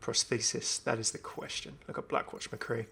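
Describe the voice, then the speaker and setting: A man's voice: mid-range voice, fairly even intonation, normal speed, gravelly, sharp tone, breathy edge. A young man giving casual, enthusiastic commentary on a video game. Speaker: gaming video host.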